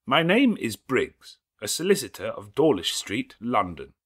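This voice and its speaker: A man's voice: medium pitch, sing-song, unhurried, a bit bright, husky, voice pushed up, exaggerated voice. A man doing a nasal, official lawyer. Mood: formal. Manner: precise, official reading. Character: nasal, formal middle-aged male solicitor.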